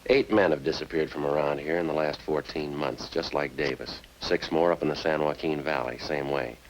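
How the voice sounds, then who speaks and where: Very deep, terse police sergeant. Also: male voice, steady tone, moderate pace. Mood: methodical, understated. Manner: clipped, matter-of-fact, understated. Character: terse, methodical male police sergeant interrogating a suspect. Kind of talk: 1950s radio crime drama acting. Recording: noisy old-time radio recording.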